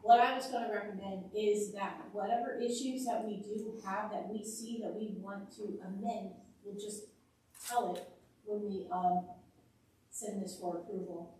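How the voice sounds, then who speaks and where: A woman on a township zoning commission who leads the discussion, chatty and thinking aloud with fillers, with a loud voice. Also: mid-range voice, fairly even intonation, a little slow, slight background noise. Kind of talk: public meeting discussion. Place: township meeting room. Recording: echoey meeting room from a distant mic.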